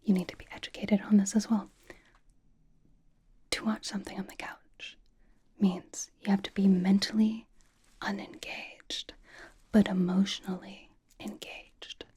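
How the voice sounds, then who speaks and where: A woman playing a bossy, playful young female pop idol in a whispered ASMR roleplay, giving slow, mock-stern instructions in a breathy whisper. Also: mid-range voice, flat delivery, darkish tone, natural voice.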